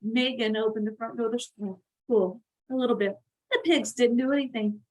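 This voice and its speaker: High-voiced woman reading aloud to children. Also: normal speed, poor audio. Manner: animated, addresses young listeners directly. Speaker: read-aloud channel host. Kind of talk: expressive picture-book read-aloud for children.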